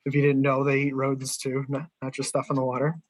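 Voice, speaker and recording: A man from New England with a Boston accent, giving a relaxed, conversational talk as guest speaker on a video call. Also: mid-range voice, quick pace, no echo. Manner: relaxed, conversational, self-restarting. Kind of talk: presentation over video call.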